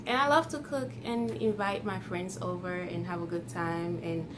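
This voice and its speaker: A woman's voice: on the low side, steady tone, normal speed, noisy background. A woman in her twenties from Ghana, whose first language is Twi, chatting to her viewers in a friendly, casual way. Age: 20s. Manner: friendly, chatty, light laughs. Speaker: YouTuber. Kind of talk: casual talk to camera.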